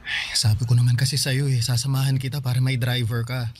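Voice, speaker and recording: A man's voice: mid-range voice, little pitch movement, brisk, a little hiss or hum, lightly acted. A man playing a clingy, earnest boyfriend in a radio drama, pleading and worried. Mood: tender, attentive. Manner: pleading, stammering when upset. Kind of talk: Tagalog radio drama acting.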